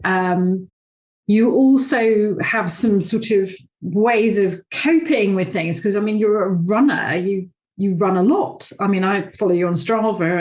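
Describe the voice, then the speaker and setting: A woman hosts a podcast conversation over a video call, her voice sitting in a middle pitch. She talks in a chatty, rambling way, piling up fillers and asides as she leads into her questions. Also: darkish tone. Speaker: podcast host, awards founder. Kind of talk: conversational interview.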